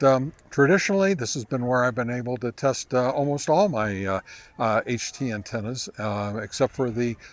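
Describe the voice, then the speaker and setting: An American man giving a casual field-test review outdoors, speaking in a halting way as he thinks aloud. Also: medium pitch. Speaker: amateur radio reviewer. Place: outdoors in a park.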